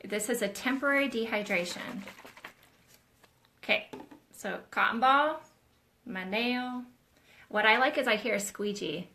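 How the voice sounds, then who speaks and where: This is a woman hosting a live question-and-answer session for nail techs. Her voice is bright and forward, and she talks in a loose, chatty teaching style, explaining as she demonstrates on her own nails. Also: a little high, unhurried, pauses often. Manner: chatty, demonstrates while talking, addresses viewers as "you guys". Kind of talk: casual live-stream teaching.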